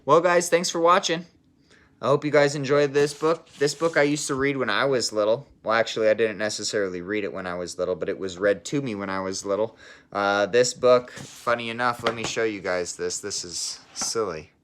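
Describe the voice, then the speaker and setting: A man with a fairly low voice reads a children's story aloud. He narrates in a measured, teacherly way with pauses and slips into casual talk to his young listeners. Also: a bit bright.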